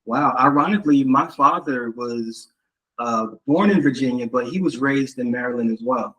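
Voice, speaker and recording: Man, mid pitch, easygoing interviewer, casual, affirming. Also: moderate pace, slightly rough, lo-fi sound. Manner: easygoing, relaxed, affirming with "yeah" and "wow". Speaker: interview host.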